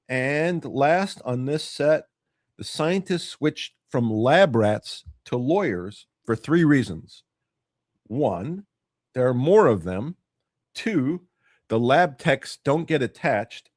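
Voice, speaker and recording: A man's voice: medium pitch, a little mellow, no echo. Stuffy, nasal man rambling casually. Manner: rambling, self-correcting, dry reading of jokes. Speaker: legal news commentator. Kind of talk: casual solo commentary.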